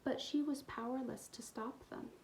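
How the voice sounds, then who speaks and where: A woman with a fairly high, soft-edged mellow voice reads aloud for schoolchildren. Her storytelling is expressive, with explanatory asides suited to young listeners. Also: normal speed, poor audio. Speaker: storytime reader for a school community. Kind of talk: children's picture book read-aloud with asides.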